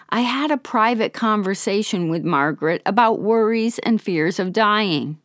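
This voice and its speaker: A woman podcast host narrating a story in a calm, gentle, measured way while slightly hoarse from being under the weather. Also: medium pitch, no room sound, clean studio sound.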